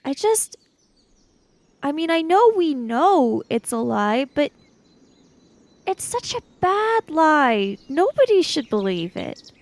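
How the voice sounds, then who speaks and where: A woman's voice: sits high, sing-song, takes it slowly, pauses often, no echo. A woman chatting casually and improvising dialogue as a player in a tabletop roleplaying game.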